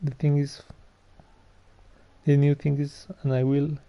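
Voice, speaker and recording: A man's voice: a little high, completely flat delivery, measured pace. A man giving casual gameplay commentary, hesitant, often repeating words and trailing off. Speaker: gaming YouTuber. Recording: dull lo-fi mic with faint game music.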